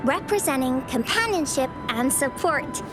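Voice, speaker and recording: A kind young game lady, high lilting voice, gentle and encouraging, loud music all over it. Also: animated, measured pace. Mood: kind, interested. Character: kind, gentle young female helper who encourages a child. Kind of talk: video game voice acting. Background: loud game background music.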